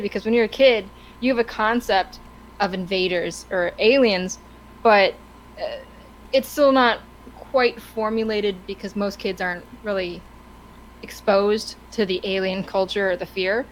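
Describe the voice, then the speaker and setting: A woman's voice: medium pitch, slight background noise, poor audio. A woman co-hosting a casual talk stream, chatty and rambling with an enthusiastic way of telling things. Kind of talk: casual chat.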